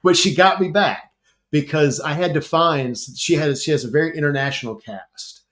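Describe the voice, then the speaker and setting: A man voice actor chatting on a panel, telling animated stories with frequent laughs and repeated starts. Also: on the high side, normal speed. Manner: animated storyteller, laughs often, repeats himself. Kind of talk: casual panel chat.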